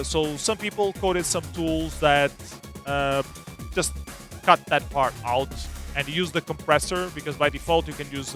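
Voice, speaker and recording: A man's voice: on the high side, fairly bright tone. A man presenting a casual technical seminar in a loose, filler-heavy, informal way. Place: seminar room at a demo party.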